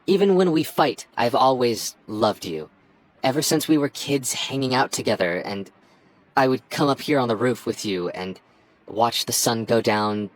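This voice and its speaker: A man's voice: mid-range voice, natural voice, low-quality recording. A man playing a stubborn young childhood friend confessing his love in an intimate roleplay, speaking earnestly with stammers and false starts. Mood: earnest, longing. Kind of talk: ASMR roleplay, intimate one-sided dialogue.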